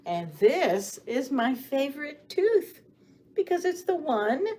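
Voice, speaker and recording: A woman reading stories and rhymes aloud for young children as a storytime host, with a sing-song, expressive delivery, often putting questions to the children. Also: high voice. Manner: addresses children directly with questions and pauses for answers. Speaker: children's librarian hosting storytime. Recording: clearly audible background noise.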